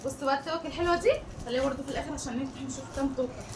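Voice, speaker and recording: Egyptian female family vlogger, rapid-fire chatty delivery, casual unboxing talk, recorded off-mic in an echoey room. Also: high-pitched. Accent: Egyptian Arabic. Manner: chatty, addressing viewers and family. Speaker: family vlog host. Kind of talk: casual chat while unboxing toy bags. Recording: distant mic, echoey room, clear background noise.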